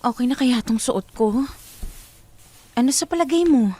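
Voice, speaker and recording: A woman's voice: a little high, lively intonation, normal speed, dark tone. A woman playing a nervous, bashful young woman in a radio drama, flustered and hesitant as she hides her feelings. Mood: bashful, teasing. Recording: music bed under the voice.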